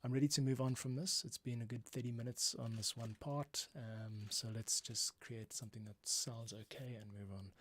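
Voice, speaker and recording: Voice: mid-range voice, fairly even intonation, normal speed, hardly any pauses, slight background noise. A composer giving casual commentary while working on a score, thinking aloud as host. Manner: thinks aloud, sings melody lines in syllables. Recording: loud music over the voice. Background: music being composed and played back.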